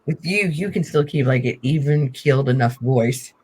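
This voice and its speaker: Husky, chatty man co-hosting a stream. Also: medium pitch, normal speed, a little mellow. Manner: chatty, laughs often. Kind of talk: casual chat on a live stream.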